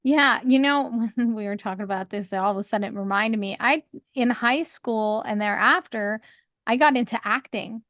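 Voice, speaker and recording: A woman with a higher voice, co-hosting a podcast and talking openly in a rambling, candid way. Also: moderate pace, a bit bright, no room sound, clean studio sound. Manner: rambling, restarts, laughs often. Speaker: podcast co-host. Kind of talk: candid conversational chat.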